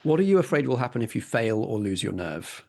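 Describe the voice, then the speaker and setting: A man's voice: medium pitch, big pitch swings, a little fast, vocal fry, a little mellow. A man narrating scenes and giving rulings as the game master of a tabletop role-playing game.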